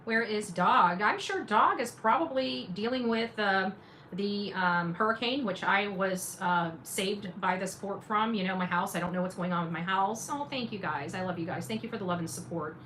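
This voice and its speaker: Clear background noise sits behind a woman's medium-pitched voice as she talks to a livestream audience. Her commentary is casual and unscripted, with emphatic repetition and dry sarcastic asides. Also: normal speed, a bit bright, poor audio. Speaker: independent journalist and livestream host.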